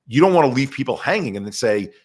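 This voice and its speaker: A man talking as a podcast guest in an animated, energetic way over a video call. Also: medium pitch, quick pace, husky. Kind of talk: casual conversation.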